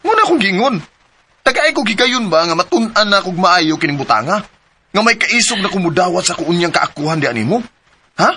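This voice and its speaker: An actor playing a hesitant young boyfriend in a radio drama, coaxing and pleading with a Cebuano Filipino accent. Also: male voice, high-pitched, sing-song, brisk, sharp tone, slight background noise, heavily acted. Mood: evasive, coaxing. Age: young adult.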